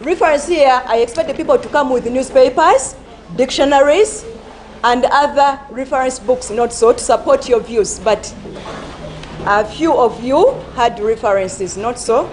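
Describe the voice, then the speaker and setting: A woman teacher reading out debate scores and advising pupils, speaking slowly with many fillers and repeated words. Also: high voice, a little mellow, a little hiss or hum, low-quality recording. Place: school assembly.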